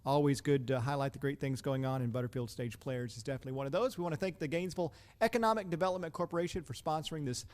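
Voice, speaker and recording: A man's voice: a little high, rapid-fire, hardly any pauses, darkish tone. A man hosting a casual interview podcast in a chatty, encouraging and appreciative way. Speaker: podcast host.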